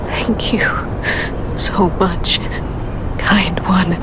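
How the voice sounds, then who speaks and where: An actress playing a frail, deluded, doting mother in a video game, with a breathy, half-whispered, halting delivery. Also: lowish voice, fairly even intonation, rough recording. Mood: blissful, grateful. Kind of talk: dramatic video game cutscene acting.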